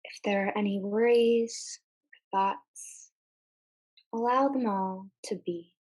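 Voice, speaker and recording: Slow-paced woman guiding a meditation. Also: medium pitch, steady tone, rough recording. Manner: gentle, unhurried, with long pauses between short phrases. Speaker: meditation guide. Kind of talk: guided meditation, slow and soothing.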